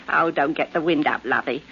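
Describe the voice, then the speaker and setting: An actress playing a teasing, nagging London housewife with chatty, colloquial talk, in 1940s radio drama style. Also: female voice, low-pitched. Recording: dull, noisy 1940s radio recording.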